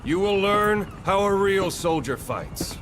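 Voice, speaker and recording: An actor playing a commanding, gruff soldier and drill instructor in a video game cutscene, lecturing in a stern, theatrical way. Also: male voice, high-pitched, lively intonation, slow pace, fairly bright tone, a little hiss or hum, heavily acted. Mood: stern, rallying.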